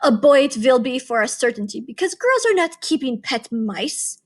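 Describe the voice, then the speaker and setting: Lady doing a shrill bossy witch boss, snapping orders, high and sharp. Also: animated, moderate pace. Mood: imperious. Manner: imperious, barking orders. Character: commanding evil female witch leader.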